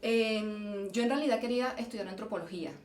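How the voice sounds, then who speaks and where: A woman's voice: medium pitch, fairly quick, darkish tone. A Venezuelan woman, a geological engineer, answering interview questions in a thoughtful way, often restarting and repeating words. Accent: Venezuelan Spanish. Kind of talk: conversational interview. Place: event venue, indoors. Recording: roomy, distant mic, faint noise.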